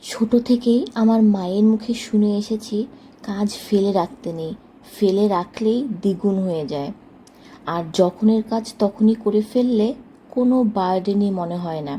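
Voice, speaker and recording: A woman's voice: medium pitch, moderate pace, warm tone, slight background noise, poor audio. A woman narrating a casual daily household vlog as a homemaker, chatting to her viewers. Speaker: homemaker vlogger.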